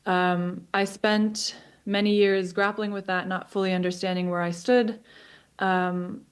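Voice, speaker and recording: A woman in her twenties, raised between Israel and the US, giving a personal talk as a guest speaker over a video call, pausing often with ums and restarts. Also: medium pitch, clean tone. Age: 20s. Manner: thoughtful, many ums and restarts. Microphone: remote video call.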